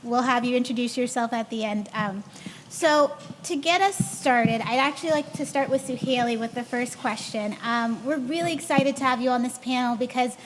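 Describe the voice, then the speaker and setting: A woman's voice: a little high, moderate pace. A woman moderating a panel discussion, upbeat and encouraging as she sets up questions. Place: conference hall. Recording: hall reverb with faint room noise.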